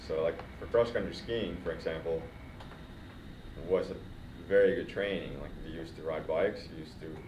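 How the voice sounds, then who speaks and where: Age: adult. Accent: Finland Swedish. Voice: very low man. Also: moderate pace. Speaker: guest cycling coach. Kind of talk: casual podcast interview. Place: training studio, seated in recovery chairs. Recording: roomy, distant mic, noisy.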